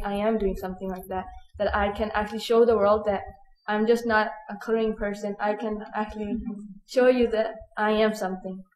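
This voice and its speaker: A teenage girl from Pakistan whose first language is Punjabi, speaking hesitantly and softly in a played video clip with many fillers. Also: medium pitch. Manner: hesitant, soft-spoken, many "like" and "um". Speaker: student. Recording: echoey archive clip with faint noise.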